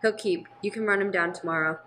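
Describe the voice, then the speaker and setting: A woman playing a brusque, businesslike police captain in a radio play, brisk and authoritative. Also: medium pitch, pushed and bright, a little hiss or hum, natural voice. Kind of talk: amateur radio play acting.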